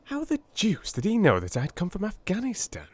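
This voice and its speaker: A man playing a polite, curious former army doctor in a theatrical audiobook, with wry, expressive, gentlemanly delivery. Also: sits high, normal speed, no echo, pitched above the performer's own voice, full character voice. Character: weary but curious young ex-army doctor, polite, droll. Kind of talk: theatrical audiobook character acting.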